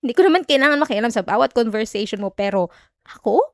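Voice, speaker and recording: A high, close and dry voice with a Filipino accent: a woman acting a jealous young girlfriend in an audio roleplay. She is emotional and sarcastic, wounded while making a show of not caring. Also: expressive, quick pace, no room sound. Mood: angry, exasperated. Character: jealous, hurt young girlfriend feigning indifference. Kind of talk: Tagalog ASMR girlfriend roleplay, acted monologue.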